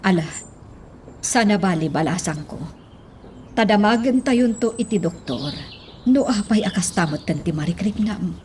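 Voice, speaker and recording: A woman's voice: mid-range voice, expressive, normal speed, long pauses, a little mellow, lightly acted. A woman playing a caring, reassuring mother in a radio drama, with an Ilocano accent. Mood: concerned, soothing. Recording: faint birdsong and background noise.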